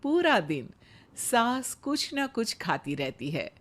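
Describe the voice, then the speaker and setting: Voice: mid-range voice, big pitch swings, slow, fairly bright tone. धीमी रफ़्तार वाला कहानी वाचन. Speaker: story narrator. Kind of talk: scripted bedtime moral story narration.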